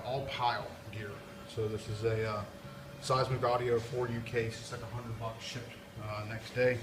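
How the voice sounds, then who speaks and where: Male tech presenter, informal and unscripted, very even intonation, handheld camera audio with clear background noise. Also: mid-range voice. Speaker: video presenter, DJ and PA technician. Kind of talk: casual off-the-cuff equipment walkthrough. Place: indoors, brick-walled workshop building. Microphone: handheld camera or phone, speaker off screen. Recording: noisy handheld camera audio with room ambience.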